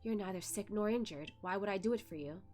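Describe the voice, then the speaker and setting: A woman's voice: mid-range voice, fast, darkish tone, faint music behind, close to an everyday voice. Woman doing a cold, stern male master. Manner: cold, clipped, scolding. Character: stern, aloof young male immortal master.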